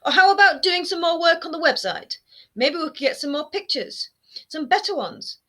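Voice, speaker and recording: A woman voicing a shrill, nagging former queen in a storybook reading, her voice high, whining and scolding. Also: big pitch swings, moderate pace, heavily acted. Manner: shrill and scolding, rising to near shouting. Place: home room lined with bookshelves. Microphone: webcam framing, seated close to camera.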